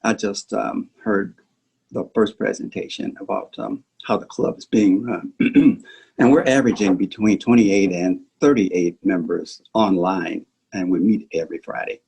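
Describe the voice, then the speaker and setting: A man speaking as a club president, giving earnest, soft-spoken remarks over a video call. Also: low-pitched, unhurried, vocal fry, breathy edge, rough recording.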